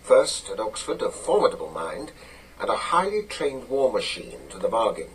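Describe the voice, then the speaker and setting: Gruff older British spymaster, low and commanding, clipped radio-play delivery, slightly distant with faint noise. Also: male voice, big pitch swings, moderate pace. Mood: dry, commanding. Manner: clipped, commanding, matter-of-fact. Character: gruff, authoritative older British spy chief, dry and brisk. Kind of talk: radio drama acting.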